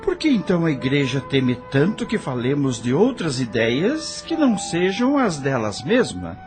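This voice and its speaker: A man's voice: on the high side, huge pitch swings, moderate pace, over music. A man playing an earnest, resolute widowed doctor in an audio drama. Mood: questioning.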